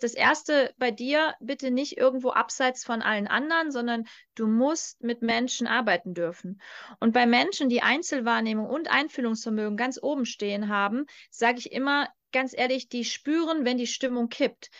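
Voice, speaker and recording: High-voiced, emphatic woman coach. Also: normal speed, fairly bright tone, muffled recording. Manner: emphatic, direct, with frequent checking tag questions. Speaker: strengths coach, podcast guest. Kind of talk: explanatory coaching talk in a podcast.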